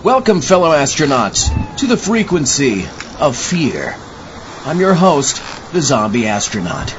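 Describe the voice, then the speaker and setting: An eager, gullible zombie astronaut host, acted by a man, delivers scripted comic horror patter with very lively intonation. The audio is dull, with clear background noise. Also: medium pitch, unhurried. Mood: cheerful.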